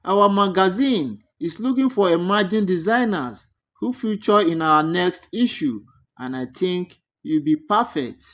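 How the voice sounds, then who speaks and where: A storyteller voicing an excited, helpful young woman, an upbeat and reassuring old friend in a folktale. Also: medium pitch, unhurried.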